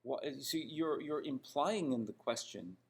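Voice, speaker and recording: A man's voice: medium pitch, animated, unhurried, slightly rough. A man from Toronto with a Canadian accent, giving an English lesson in an emphatic, didactic manner with frequent check-in questions. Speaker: English teacher. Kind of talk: spoken English lesson critiquing example sentences.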